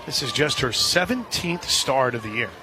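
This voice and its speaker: A man doing live radio play-by-play commentary of a softball game. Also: mid-range voice, big pitch swings, moderate pace. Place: outdoor softball stadium broadcast position. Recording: outdoor ballpark, clear crowd noise behind.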